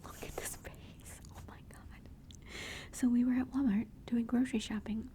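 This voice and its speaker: A woman's voice: on the high side, level tone, normal speed, mellow. A woman whispering throughout as she shows family photos and gushes fondly over her kids. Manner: gushing, affectionate, chatty. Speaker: mother showing family photos. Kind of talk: whispered ASMR photo show-and-tell.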